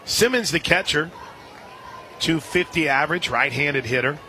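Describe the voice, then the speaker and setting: Higher-voiced man calling softball over crowd noise. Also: lively intonation, normal speed. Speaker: radio play-by-play announcer. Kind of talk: live softball play-by-play commentary. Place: outdoor softball stadium broadcast position. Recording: outdoor ballpark, clear crowd noise behind.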